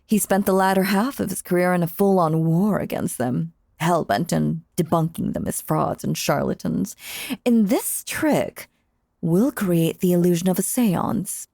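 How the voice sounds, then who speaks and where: A sinister, possessive magician acted by a woman speaks in a low, creaky, velvety-dark voice. The delivery is theatrical and coaxing, sweetly menacing, often sinking into breathy whispers for an intimate roleplay audio. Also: no echo, studio quality, heavily acted. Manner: theatrical, coaxing, drawn-out phrasing with dramatic pauses.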